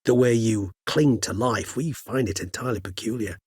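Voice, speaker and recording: An actor voicing an erudite, gentle, world-weary old vampire scholar in a video game, speaking in measured, courtly, bookish phrases. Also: male voice, fairly low pitch, husky, dry recording, clean studio sound. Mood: measured, pensive. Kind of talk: video game character dialogue.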